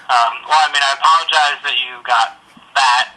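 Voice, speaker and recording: A man's voice: medium pitch, steady tone, moderate pace, sharp tone. A man working at a store, talking politely and hesitantly over a telephone line, casual and apologetic. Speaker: store employee taking a call. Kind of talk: casual customer-service phone talk.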